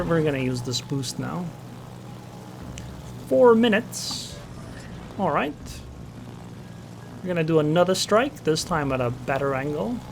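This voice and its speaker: A man's voice: high-pitched, creaky. A man gives casual live commentary over a naval battle game, thinking aloud about his next moves. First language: Estonian. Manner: thinks aloud, muttering plans and small reactions. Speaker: gameplay commentator. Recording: game sounds under the voice. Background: game engine and gunfire sounds.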